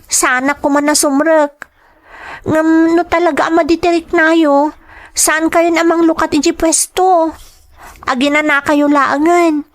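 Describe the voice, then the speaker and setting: A woman's voice: high voice, fairly bright tone. A woman playing a devoted, worried young student daughter in an Ilocano radio drama, earnest and concerned.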